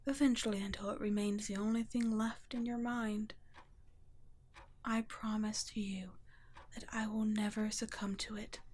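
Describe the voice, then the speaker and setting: A woman voicing a gentle, guilt-ridden vampire guardian in an ASMR roleplay, speaking in a soft, breathy half-whisper with a hushed, halting, tender delivery. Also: fairly high pitch, slight background noise, natural voice. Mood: tender, reassuring. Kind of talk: ASMR roleplay, scripted intimate monologue.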